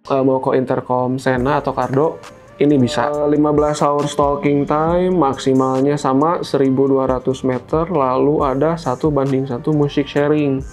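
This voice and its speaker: A man's voice: fairly high pitch, darkish tone. Casual man presenting over music. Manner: informal, explanatory, step-by-step. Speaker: product review host. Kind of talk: casual unboxing presentation to camera.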